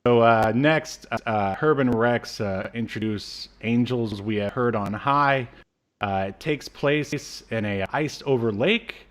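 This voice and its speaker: A man in his thirties hosting a casual review chat, speaking in a halting, hesitant way. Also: mid-range voice. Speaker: podcast host. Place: small room at home, video call.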